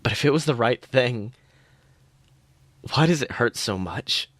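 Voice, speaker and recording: A man's voice: medium pitch, expressive, unhurried, a bit bright, dry recording. A man voicing a grieving, bewildered young man with a pleading, childlike manner in a story read aloud. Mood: anguished, bewildered. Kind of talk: fan fiction read aloud.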